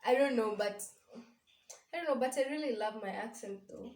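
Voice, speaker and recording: A woman's voice: on the high side, normal speed, darkish tone. Giggly young Kenyan woman, echoey room. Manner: giggly, hesitant, searching for words. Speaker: vlogger. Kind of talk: casual sibling tag chat. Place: small bare room indoors. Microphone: camera across the room. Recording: echoey room, distant mic.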